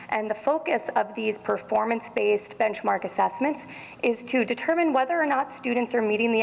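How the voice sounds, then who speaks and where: A woman supervising a school science department, giving a formal, measured presentation to a school board. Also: mid-range voice, vocal fry. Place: school meeting hall. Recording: reverberant hall.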